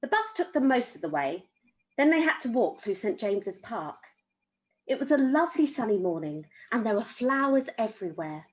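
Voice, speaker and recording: A woman's voice: a little high, very animated, moderate pace. A woman reading a children's story aloud as an amateur storyteller.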